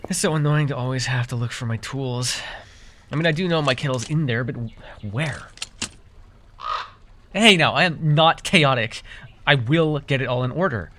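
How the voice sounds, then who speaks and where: A man's voice: medium pitch, normal speed, fairly bright tone, slight background noise, natural voice. A man playing an easily flustered, teasing but caring fae forest guide in a fantasy roleplay, with exasperated banter. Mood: mildly exasperated. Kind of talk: immersive fantasy roleplay ASMR acting.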